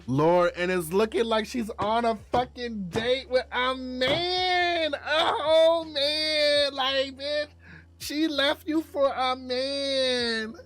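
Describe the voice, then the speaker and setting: A streamer reading a visual novel aloud, with expressive narration and sassy, slangy asides. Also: sits high, extremely slow, slight background noise.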